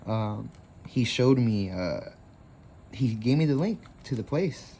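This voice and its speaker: A man's voice: mid-range voice, lively intonation, slow, dark tone, slight background noise. A man in his twenties hosting a casual craft tutorial, rambling easily with lots of fillers. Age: 20s.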